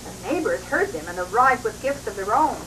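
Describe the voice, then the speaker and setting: A woman's voice: a little high, lively intonation, brisk. An older woman, a grandmother, reading a storybook aloud to children on a noisy old home recording. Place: at a family Christmas party.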